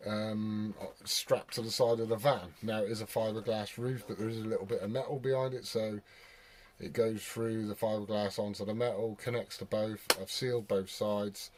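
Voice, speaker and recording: A man's voice: mid-range voice, a little mellow. A British man with a mid-range voice talks as a CB radio hobbyist. His style is loose and rambling, with casual swearing and radio jargon. Some radio static sits around the voice. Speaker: CB radio operator and vlogger. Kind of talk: casual chat and radio calls.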